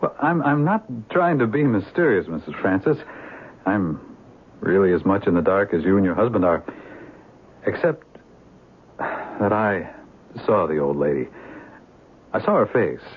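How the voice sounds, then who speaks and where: An actor playing a courteous, grave police lieutenant who speaks hesitantly in a measured way, in a radio drama. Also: male voice, deep voice, sing-song, slightly rough, heavily acted. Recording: muffled lo-fi 1970s radio recording.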